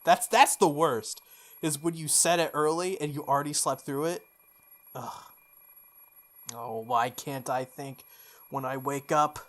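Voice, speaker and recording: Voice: mid-range voice, steady tone, a little slow, long pauses. A game playthrough host expressively reading story text aloud, stumbling and correcting along the way, with off-the-cuff asides.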